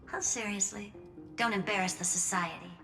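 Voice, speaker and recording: Scornful, mid-pitched woman as a sharp-tongued genius. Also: moderate pace, over music, lightly acted. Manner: mocking, blunt. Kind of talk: video game voice acting.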